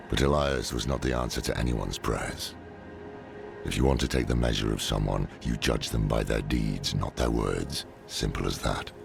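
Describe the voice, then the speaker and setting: Weary old scholar warrior, super deep voice, grim game cutscene, music behind him. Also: male voice, heavily acted. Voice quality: gravelly. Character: grim weathered old male scholar and demon hunter. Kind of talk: dramatic video game cutscene acting. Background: dramatic game music.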